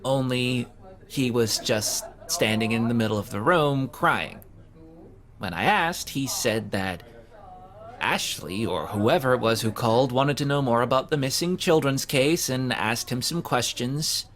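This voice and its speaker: A man's voice: medium pitch, unhurried, a bit bright, close to an everyday voice. A man playing an awkward, hapless young man in an audio drama, talking in a hesitant, sheepish way full of fillers. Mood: glum, resigned. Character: awkward, hapless young man who keeps getting fired. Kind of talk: conversational audio drama acting.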